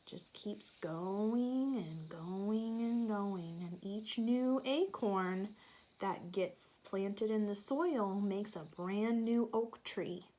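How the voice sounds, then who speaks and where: Slow, patient woman reading a storybook. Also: medium pitch, dark tone, rough recording. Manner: patient, explains with questions to young listeners. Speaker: teacher reading to children. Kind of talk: picture-book read-aloud with teaching asides.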